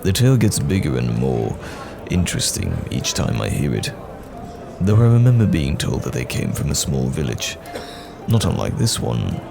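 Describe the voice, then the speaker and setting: A man playing a courteous, earnest wandering knight in fantasy roleplay audio, speaking in a measured, formal, old-fashioned way with a gravelly voice. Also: sing-song, exaggerated voice. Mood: measured, musing. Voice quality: gravelly, very deep. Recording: music behind the voice.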